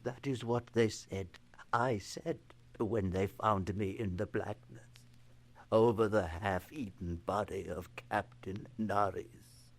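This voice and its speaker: An actor playing a deranged, haunted asylum inmate in a theatrical old-time radio horror drama. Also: male voice, lowish voice, fairly even intonation. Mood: hushed, haunted. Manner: theatrical, halting, swinging from hushed dread to frenzy. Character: deranged, haunted man confined to an asylum, pleading innocence. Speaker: narrator and lead actor. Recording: noisy vintage radio recording.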